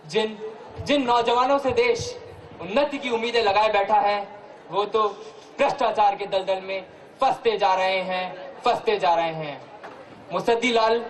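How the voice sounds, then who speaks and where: A man's voice: high voice, low-quality recording. A man playing a solemn, earnest stage-play narrator, declaiming and repeating words for dramatic weight. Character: solemn, earnest male narrator rallying against corruption. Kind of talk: theatrical Hindi stage narration with rhymed verse. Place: live stage performance. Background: loud music.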